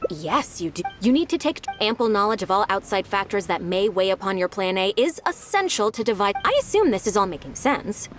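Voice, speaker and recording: An actress playing an earnest, fussy young secretary in a game, talking briskly and in a businesslike way, a little flustered. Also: female voice, mid-range voice, lightly acted. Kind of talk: game voice acting. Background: game music.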